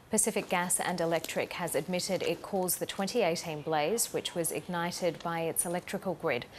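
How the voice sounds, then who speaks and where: A woman's voice: on the low side, slight background noise. An Australian woman reading scripted television news as the anchor.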